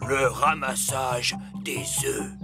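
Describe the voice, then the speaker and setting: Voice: medium pitch, fairly even intonation, takes it slowly, over loud music, lightly acted. An actor voicing an earnest, cautious elf farmer in a children's cartoon, explaining things and giving dramatic warnings. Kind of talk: animated children's cartoon dubbing.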